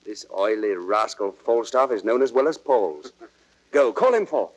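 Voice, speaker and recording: An actor playing a jovial, mocking young prince in old radio Shakespeare style. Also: male voice, fairly low pitch, very animated, measured pace, highs cut off, full character voice. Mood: amused, brisk. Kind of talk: 1930s radio Shakespeare acting.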